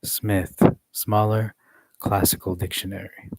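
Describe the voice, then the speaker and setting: A man reading a study text aloud in a measured way, adding occasional joking asides. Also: lowish voice, level tone, creaky, no echo, poor audio.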